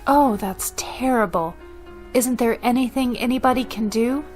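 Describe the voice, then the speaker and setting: A woman's voice: fairly high pitch, normal speed, darkish tone, faint music behind, lightly acted. An actress playing a doting, folksy older mother who fusses over her guests in a radio drama. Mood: sympathetic.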